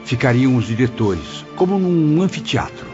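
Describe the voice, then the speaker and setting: A man's voice: mid-range voice, big pitch swings, normal speed, warm tone, lightly acted. A man playing a dignified departed memoirist, narrating formally in an audio drama. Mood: reflective. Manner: formal, descriptive. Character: dignified deceased male memoirist recalling the afterlife. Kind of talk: scripted first-person dramatised narration. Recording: dull lo-fi audio with music behind. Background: clear background music.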